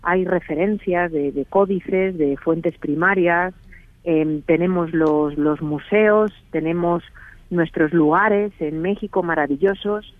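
A middle-aged woman with a Spain Spanish accent answers questions over the phone. Her delivery is earnest and measured, often restarting a phrase before she continues. The sound is thin and tinny, like a phone line, and dry. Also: lowish voice, no echo. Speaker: guest, TV production company head. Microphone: telephone.

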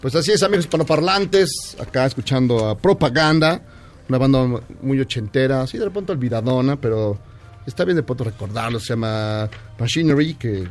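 A man co-hosts a radio show, joking in a relaxed, laid-back way. His voice sits at a middle pitch, with faint music behind him. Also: brisk. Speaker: radio co-host. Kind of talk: casual radio banter.

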